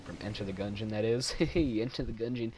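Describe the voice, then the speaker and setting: Young male gameplay commentator: loose, rambling, giggly, a touch low-pitched. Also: brisk, darkish tone, slight background noise. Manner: rambling, self-deprecating, giggly. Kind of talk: casual game commentary voice-over.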